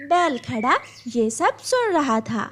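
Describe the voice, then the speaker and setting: A woman's voice: high voice, sing-song, slow, fairly bright tone. A woman narrator reading a scripted children's cartoon story.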